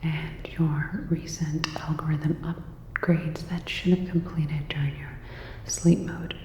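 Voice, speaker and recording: A woman whispering a scripted sci-fi ASMR roleplay as a calm, clinical robot repair technician, soft, breathy and gently instructive. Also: deep voice, takes it slowly, roomy sound, long reverb tail, a little hiss or hum.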